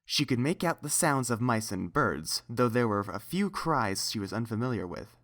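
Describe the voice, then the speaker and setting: A man's voice: mid-range voice, lively intonation, moderate pace, fairly bright tone. A man reading a scripted story as its narrator.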